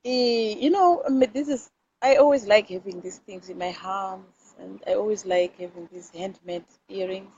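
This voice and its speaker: A woman's voice: medium pitch, moderate pace, dark tone. A woman with a Zimbabwean accent gives an informal motivational talk to camera, speaking emphatically and repeating phrases to press her points. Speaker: host of live videos. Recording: dull lo-fi audio with clear background noise.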